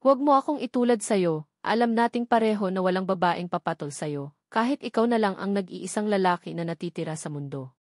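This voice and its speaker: A woman's voice: medium pitch, expressive, a little fast, no room sound, close to an everyday voice. A bold captive wife in an audio novel, cold and scornful toward her captor, acted out by a woman narrator. Her defiant lines sit in a dry recording.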